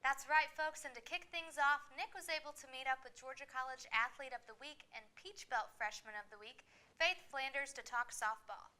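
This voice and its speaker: A woman's voice: high voice, fairly quick, bright, forceful tone, clear, resonant tone. A woman co-hosting a student sports segment, reading scripted intros in an upbeat presenter style. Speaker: sports co-host.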